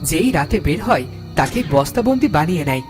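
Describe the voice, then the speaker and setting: Story narrator, male, animated scripted delivery for a cartoon, over clear background music. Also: on the high side, a little fast.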